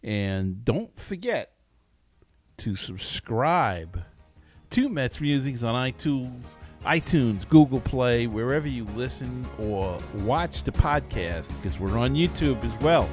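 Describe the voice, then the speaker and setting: A man's voice: medium pitch, big pitch swings, slow pace, a little mellow, faint music behind. A man hosting a sports podcast in a casual, conversational and somewhat hesitant way. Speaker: podcast host.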